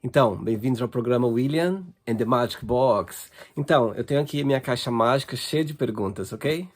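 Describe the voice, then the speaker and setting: A man's voice: medium pitch, normal speed. A man hosting an interview show, welcoming the guest and asking short, upbeat questions over a video call. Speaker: host.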